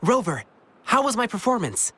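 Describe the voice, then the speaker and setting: A woman's voice: on the high side, big pitch swings, moderate pace, sharp tone, husky, full character voice. A woman voicing a gracious, sincere young performer in a video game dub, with music behind her. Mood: eager, grateful.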